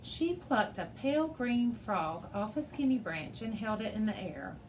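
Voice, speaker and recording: A woman reading a children's chapter book aloud as a teacher, in an expressive storybook style. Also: on the high side, measured pace, slight background noise, low-quality recording.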